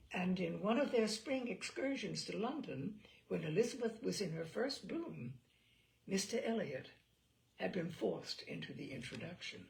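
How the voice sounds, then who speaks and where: Expressive woman reading classic fiction, creaky edge. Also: mid-range voice, rough recording. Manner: expressive, measured storytelling with deliberate pauses. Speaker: audiobook narrator. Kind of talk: literary novel reading, scripted narration.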